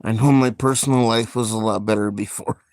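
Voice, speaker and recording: A man hosting a casual live stream, talking in a slow, soft-spoken, reflective way with frequent pauses. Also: fairly low pitch, steady tone, no room sound. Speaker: livestream host.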